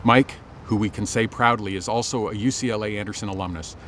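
A middle-aged man giving a formal, scripted commencement address as a dean, speaking in a measured, earnest way with deliberate phrasing. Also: fairly low pitch, fairly even intonation, fairly bright tone, slight background noise. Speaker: business school dean. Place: outdoors on an open campus plaza.

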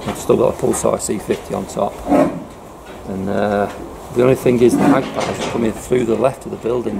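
A middle-aged man, chatting casually to the camera about airgun pest control from inside a farm building. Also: vocal fry. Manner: low-key, chatty, fillers like "you know". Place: farm building loft, indoors. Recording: noisy outdoor-farm ambience.

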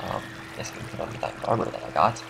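A young man with a slight cold, casually explaining a game build in a relaxed, rambling way with lots of fillers. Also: very fast, music in the background. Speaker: game tutorial creator. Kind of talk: casual gameplay tutorial commentary.

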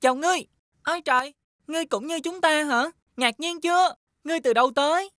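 High-voiced tiny cartoon shoemaker. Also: female voice, fast, a bit bright. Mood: friendly, welcoming. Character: tiny shoemaker, friendly hardworking kind-hearted young leader. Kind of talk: children's animated fairy tale acting.

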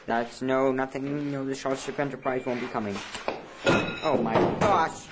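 A man's voice: medium pitch, steady tone, moderate pace. A young man giving playful mock sports commentary on a home bowling game, joking and exclaiming, recorded in a basement. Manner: jokey, exclaims often, self-mocking. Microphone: camcorder mic. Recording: noisy 2000s home camcorder audio.

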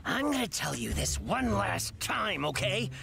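A woman's voice: mid-range voice, very animated, measured pace, gravelly, a crowd in the background, heavily acted. A woman voicing a tough, irritable, threatening gang enforcer in an anime game cutscene. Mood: menacing. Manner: barking, threatening.

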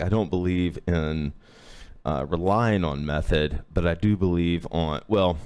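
A man in his thirties teaching a live-streamed hoop dance class from his home, sick with a lowered voice, rambling with many fillers. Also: clean tone. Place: home room indoors. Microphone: webcam at a few feet.

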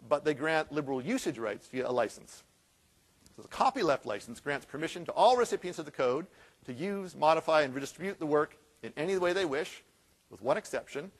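Man, keynote speaker, storytelling lecture, rhetorical questions, slightly high voice.

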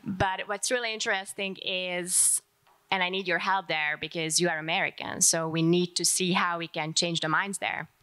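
A woman's voice: lowish voice, fairly even intonation, normal speed, bright, forceful tone, clear, resonant tone. A woman from Hungary with a Hungarian accent, asking a long, earnest question from the audience at a panel event.

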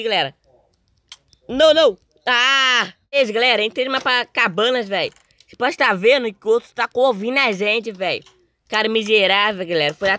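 A young male gamer with a Brazilian accent talks casually through his gameplay. His voice is slightly hoarse and his delivery is informal and full of slang. The recording is close and dry. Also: high voice, bright, forceful tone, no room sound. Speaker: gaming video host.

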